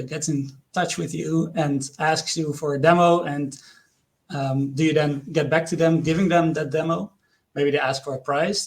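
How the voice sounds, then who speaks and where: A man from the Netherlands speaking English with a Dutch accent, giving an earnest online conference presentation with frequent hesitations and questions to the audience. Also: fairly high pitch.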